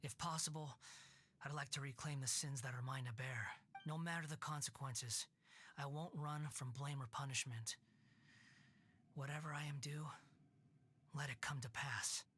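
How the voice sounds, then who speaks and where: Bitter sneering puppet guy from a game, low voice, sharp, kinda whispery. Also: steady tone, normal speed, pauses often, slight background noise. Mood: hushed resolve. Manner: cold, cutting, often hushed and breathy. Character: haughty young male puppet, scornful and bitter. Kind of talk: video game cutscene voice acting.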